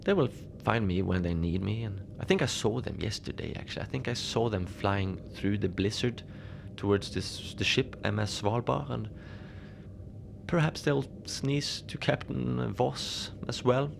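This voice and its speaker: A man playing a mild, unbothered middle-aged station manager in a cozy audio drama, confiding in a halting, rambling, understated way. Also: on the low side, creaky, background noise. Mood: musing, mild. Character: mild, unbothered lone Antarctic night-shift station manager. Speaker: podcast fiction narrator, lone facility manager on radio.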